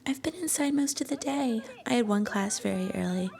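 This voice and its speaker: A woman playing an affectionate, shy young student girlfriend in an intimate ASMR roleplay, soft-spoken and breathy, often slipping toward a whisper. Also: fairly high pitch, animated, a little fast. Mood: relaxed, gentle. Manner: tender, coaxing, bashfully hesitant.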